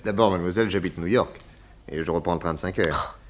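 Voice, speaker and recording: An actor playing a confident, amused private detective in a 1960s French radio drama, questioning without hurry. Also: male voice, low voice, quick pace, a little hiss or hum, close to an everyday voice. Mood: courteous, measured.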